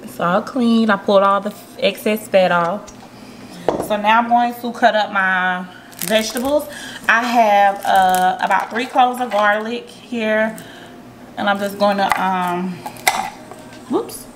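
A woman hosting a relaxed cooking tutorial in her home kitchen, chatting to her viewers with plenty of ums as she works. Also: mid-range voice, slow pace, a little hiss or hum, poor audio. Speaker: cooking vlogger.

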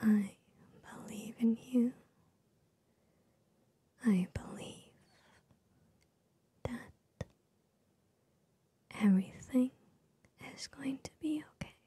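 Very slow, whispering young woman. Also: a little high. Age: young adult. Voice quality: soft, breathy whisper. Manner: slow, gentle, soothing, repetitive. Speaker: ASMR host. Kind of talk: ASMR positive affirmations. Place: small bedroom with gaming setup, indoors. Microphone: large microphone very close to the mouth.

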